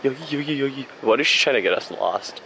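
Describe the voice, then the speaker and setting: A man's voice: mid-range voice, lively intonation, very fast, creaky, poor audio. A man in his twenties chatting casually and playfully as he films a walking vlog outdoors. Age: 20s. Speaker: vlog host. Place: outdoors on busy city streets. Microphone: handheld camera at arm's length. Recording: loud city street noise.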